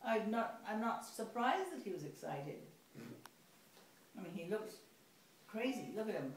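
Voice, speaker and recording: Female storyteller, expressive picture-book reading, very roomy and slightly distant sound. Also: mid-range voice, measured pace. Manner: expressive, playful. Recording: echoey room, distant mic, faint noise.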